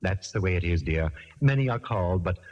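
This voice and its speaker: An actor playing a flamboyant, affectionate gentleman friend, theatrical and droll, in a 1940s radio crime comedy. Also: low-pitched, animated, fairly quick. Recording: dull, muffled old radio recording.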